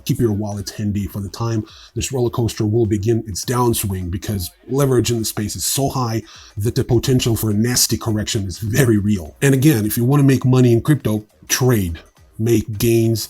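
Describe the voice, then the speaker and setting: A man's voice: on the low side, normal speed, dark tone, breathy edge. A man hosting a news show, delivering informal scripted commentary in a blunt, wry, opinionated manner. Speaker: host of a crypto news show.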